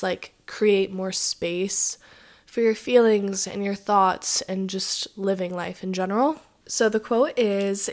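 A woman hosting her own podcast in a casual, rambling chat, her voice raspy from a sore throat, with lots of "like" and "um". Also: medium pitch. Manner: chatty, rambling, many "like"s and "um"s. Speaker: podcast host. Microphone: podcast microphone.

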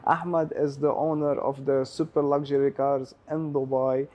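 A man hosting an interview show, upbeat, introducing his guest and jumping in with quick remarks. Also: mid-range voice, little pitch movement, mellow. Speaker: show host. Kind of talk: casual interview.

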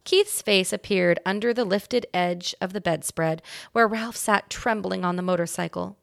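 A woman reading a children's chapter book aloud as a guest reader, with expressive storybook narration. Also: medium pitch, moderate pace, vocal fry, no echo.

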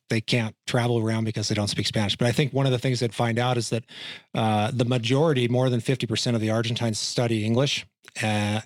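Fishing guide type from Montana, mid-pitch man, halting chatty delivery, dry close mic. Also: no echo. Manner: halting, repeats words, many fillers. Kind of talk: podcast conversation.

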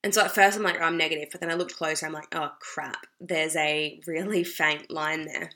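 A young Australian woman talks to camera in a loose, rambling way, peppering her speech with "like" and "you know". Her voice sits a little low for a woman yet sounds bright and forceful, with a slight hoarseness from a cold. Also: steady tone, fairly quick. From South Australia. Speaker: vlogger. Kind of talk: casual talk to camera.

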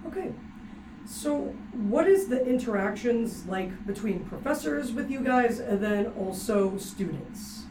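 A woman's voice: fairly high pitch, animated, a little slow. Young woman hosting from a distance. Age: young adult. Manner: upbeat and informal. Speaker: podcast host. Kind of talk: casual interview hosting. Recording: echoey room, far from mic, noisy.

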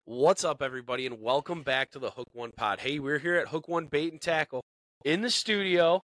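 A man's voice: fairly high pitch, fairly quick, a bit bright, faint music behind. A man co-hosting a casual podcast from a small studio in a bait shop, sounding congested from a cold, chatty and upbeat. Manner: upbeat, chatty, repeats words as he talks.

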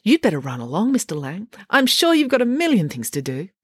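A brisk, oblivious scientist mother as a woman reads her aloud sails through a lesson with chirpy, sing-song confidence. Her voice leaps up and down with lively swoops. The sound is dry and close. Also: medium pitch, husky, no room sound, pitched above the performer's own voice. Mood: breezy. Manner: breezy, chirpy, talks over others.

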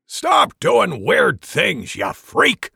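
Man putting on a gruff bossy dwarf, loud and raised, gravelly grain. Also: high voice, very animated, moderate pace, no echo, studio quality, pitched above the performer's own voice, exaggerated voice. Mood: irritated. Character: bossy bearded male dwarf, gruff schemer.

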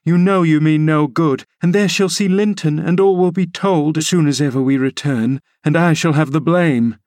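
A fretful middle-aged nursemaid who scolds and insists, as a man reading a storybook puts her on. The voice is pitched up, and the sound is dry and close. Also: normal speed, darkish tone, dry recording, lightly acted. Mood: protesting.

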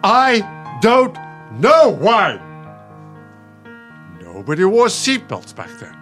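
Actor as an animated, testy elderly husband. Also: male voice, sits high, very slow, soft background music, heavily acted. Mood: pained, exasperated. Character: exasperated retired dean, smug but caring elderly husband. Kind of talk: radio play acting.

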